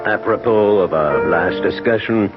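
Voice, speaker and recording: An actor playing a courteous creditor with veiled menace in a 1970s radio drama, deliberate and pointedly hesitant. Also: male voice, lowish voice, close to an everyday voice. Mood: politely menacing. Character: smoothly polite, veiled-menacing French creditor. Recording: dull 1970s radio broadcast, music behind.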